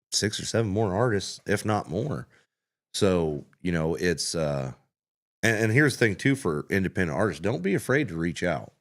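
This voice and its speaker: Low-voiced man hosting a podcast. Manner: enthusiastic, promotional. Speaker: podcast host. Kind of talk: casual podcast chat.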